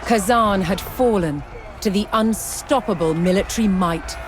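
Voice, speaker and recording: A woman reading scripted historical narration for a strategy game, in a measured, dramatic storytelling delivery. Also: mid-range voice, crowd noise, background noise. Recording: music under the voice. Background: background music.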